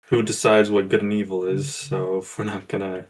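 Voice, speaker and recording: A man playing a tabletop role-play game, speaking in character in a halting, deliberate way. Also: low voice, flat delivery.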